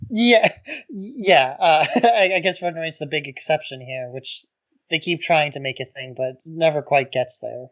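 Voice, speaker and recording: A man co-hosting a podcast, chatting in a relaxed way and leaning on "like" as a filler. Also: fairly high pitch, moderate pace. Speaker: podcast co-host. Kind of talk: casual chat.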